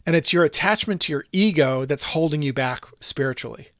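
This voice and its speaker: A man's voice: a little high, a little fast, fairly bright tone, dry recording, studio quality. A man hosting a casual discussion podcast, thinking aloud in long, rambling sentences full of restarts and asides. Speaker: podcast host.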